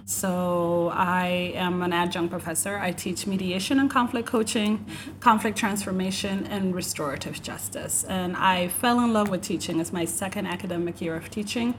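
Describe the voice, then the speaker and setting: A woman's voice: fairly low pitch, normal speed, hardly any pauses, slight background noise. A woman from South Sudan and Ethiopia, an adjunct professor telling stories as a podcast guest, acting out quotes and laughing often. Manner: animated storyteller, acts out quotes, laughs often. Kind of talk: casual podcast interview.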